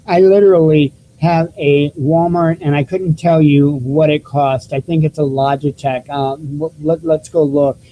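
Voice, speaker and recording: A man with a squeaky voice hosting his own YouTube news channel, talking casually to viewers through a cheap desktop microphone with many restarts and fillers. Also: steady tone, unhurried, hardly any pauses, lo-fi sound, slight background noise. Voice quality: squeaky voice, self-described. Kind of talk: casual vlog talk to viewers.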